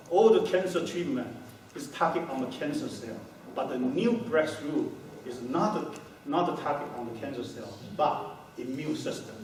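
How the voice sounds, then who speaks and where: A middle-aged man giving a conference talk, halting, with many fillers and restarts. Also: on the high side. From Taiwan. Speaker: company founder giving a talk. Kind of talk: conference talk to a small audience. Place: hotel meeting room, indoors. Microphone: far camera, no handheld mic. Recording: echoey room from far off, noisy.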